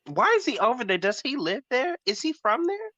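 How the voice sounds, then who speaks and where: A man's voice: very high voice, very animated, a little fast, pushed and bright, lo-fi sound. A man chatting casually as a panel host, with a sassy, blunt, slangy manner. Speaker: host on a pop culture panel show. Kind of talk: casual chat.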